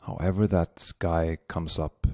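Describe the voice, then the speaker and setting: A man leading a guided meditation as the guide, speaking gently and unhurriedly with long pauses. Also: deep voice, steady tone, no room sound, clean studio sound.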